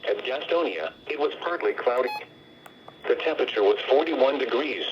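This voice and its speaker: Male weather announcer, mid-pitched, formal scripted read, muffled lo-fi radio sound. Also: animated, moderate pace. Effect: radio speaker. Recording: dull lo-fi weather radio broadcast.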